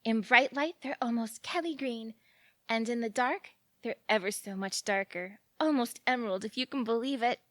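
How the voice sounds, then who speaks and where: An actress playing a giddy, lovestruck romantic woman in an audio drama, gushing and dramatic and quick to take offence. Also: a little high, a bit bright, dry recording, studio quality, natural voice. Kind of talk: audio theatre acting.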